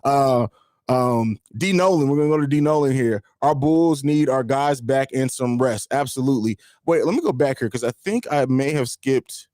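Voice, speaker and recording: A hoarse man with a raspy voice hosting a live fan stream, talking emphatically and repeating himself, with casual swearing. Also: mid-range voice, normal speed, darkish tone, no room sound, clean studio sound. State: hoarse from screaming. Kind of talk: excited sports fan stream chat.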